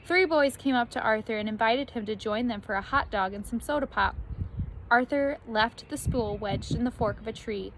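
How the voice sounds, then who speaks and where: A woman reading a children's story aloud like a teacher, expressively, with animated emphasis on key words. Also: fairly high pitch, normal speed, a little hiss or hum, poor audio.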